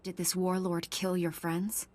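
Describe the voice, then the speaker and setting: A woman's voice: lowish voice, monotone, unhurried, a little mellow, close to an everyday voice. An actress playing a resolute, earnest young female space knight in a video game. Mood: serious, concerned.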